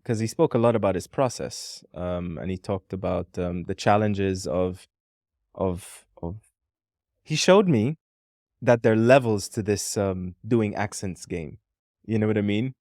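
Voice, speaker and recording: A man chatting on a podcast in a fairly low voice. He thinks aloud, wandering through his points with lots of "um", and the recording is dry and intimate. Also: measured pace, a little mellow, dry recording. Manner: thoughtful, rambling with many "um"s. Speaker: podcast co-founder and co-host. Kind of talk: casual podcast conversation.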